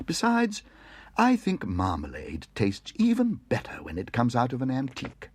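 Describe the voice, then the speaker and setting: A man voicing a polite, eager young bear who talks earnestly and breaks into high excited cries. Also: normal speed, husky, dry recording.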